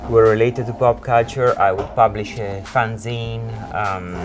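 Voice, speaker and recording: A man in his forties from Italy with an Italian accent, a creative director recounting his career in an informal interview in hesitant, halting phrases. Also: on the low side. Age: 40s. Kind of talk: informal interview, telling own career story.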